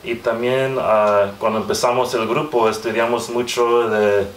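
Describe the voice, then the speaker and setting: A man in his thirties with an American accent, a native English speaker, giving an informal panel talk and haltingly searching for words. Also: mid-range voice, little pitch movement. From Southern California, United States. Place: small room with hard walls, indoors. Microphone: far camera microphone. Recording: distant mic, echoey room, faint noise.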